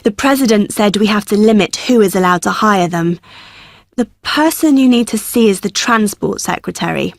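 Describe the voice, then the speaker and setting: An actress playing a helpful student union worker, explaining forms to a new student in a scripted exam dialogue. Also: female voice, mid-range voice, lively intonation, moderate pace, vocal fry, no room sound. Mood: friendly. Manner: helpful, explanatory, occasionally laughing. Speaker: student union staff member.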